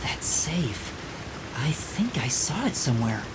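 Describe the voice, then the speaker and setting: A woman's voice: a bass voice, sing-song, a little slow, noisy background, exaggerated voice. A woman playing an earnest, thoughtful, hesitant boy detective in a video game. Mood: pondering. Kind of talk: English video game voice acting.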